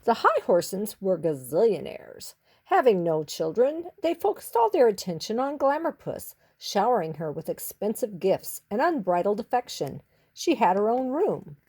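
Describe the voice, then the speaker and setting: A woman narrates a children's story with a very lively, varied pitch. She is theatrical and stretches out key words for emphasis. Her voice sits in a middle register. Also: normal speed, slightly rough.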